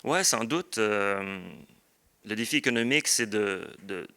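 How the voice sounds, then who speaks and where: A man's voice: fairly low pitch, a little slow, sharp tone, clear, resonant tone. A man with a Québécois French accent answering thoughtfully in a panel discussion, often searching for words as a literary editor. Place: book fair round table.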